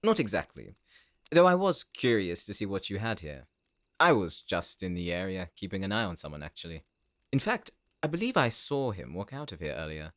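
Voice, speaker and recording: A man's voice: deep voice, very animated, moderate pace, a bit bright, no room sound, studio quality. A man playing a suave, menacing stranger. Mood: smooth, probing. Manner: suave and teasing. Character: smooth, quietly menacing man from the city. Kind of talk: audio roleplay acting.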